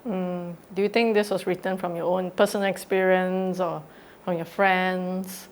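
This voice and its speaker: A woman's voice: lowish voice, level tone, normal speed, a little hiss or hum. A woman interviewer from Southeast Asia, asking a musician questions in a friendly way and laughing easily. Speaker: interviewer, editor. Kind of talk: casual interview.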